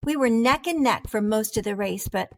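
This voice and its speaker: A woman voicing a proud, dramatic wheel of cheddar in an expressive children's storybook read-aloud. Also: a little high, a little hiss or hum. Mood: dramatic recounting. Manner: theatrical, expressive, deliberate delivery.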